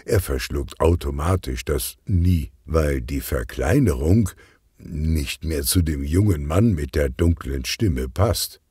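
A man narrating a scripted children's detective story in an expressive storytelling manner. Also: a bass voice, takes it slowly, husky, dry recording, clean studio sound. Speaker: audiobook narrator.

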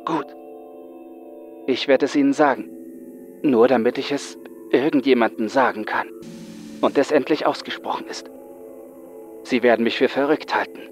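A man acts out a young male psychiatric patient in a horror story. He is bitter, frightened and haunted, speaking with intense dramatic pauses over music. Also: medium pitch, voice pushed up, lightly acted. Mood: defeated resignation. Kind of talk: horror audiobook acting.

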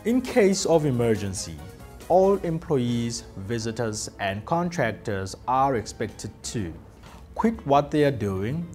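Male presenter for a factory safety video: slow, deliberate delivery, lively pitch, lapel mic with soft music beneath. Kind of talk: scripted corporate safety narration. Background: soft background music.

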